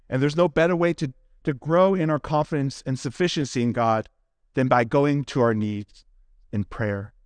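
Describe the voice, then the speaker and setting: A male pastor with a fairly high-pitched voice delivers a sermon. He speaks earnestly and deliberately, stressing phrases in parallel runs. The sound is close and dry, with little room echo. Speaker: pastor preaching to his congregation. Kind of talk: expository sermon closing in prayer.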